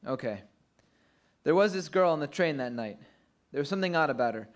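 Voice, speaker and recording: An actor as a young working-class vendor in an old-time radio mystery. His voice sits fairly high, and he talks in a blunt, grudging, evasive way. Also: expressive, a little fast, close to an everyday voice. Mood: uneasy. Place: live stage performance at a convention.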